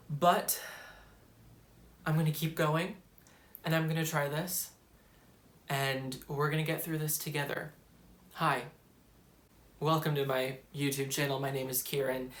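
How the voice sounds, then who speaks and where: A man's voice: fairly high pitch, fairly bright tone. A young man vlogging casually to the camera, rambling and self-deprecating as he talks about his studies. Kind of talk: casual vlog talk to camera. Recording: echoey room.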